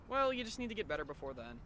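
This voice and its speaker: A man playing a sardonic, weary male high school student who narrates and talks dryly in an anime fan dub. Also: big pitch swings, quick pace, pushed and bright, a little hiss or hum, exaggerated voice. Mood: puzzled, casual. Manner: dry, wry, long-suffering.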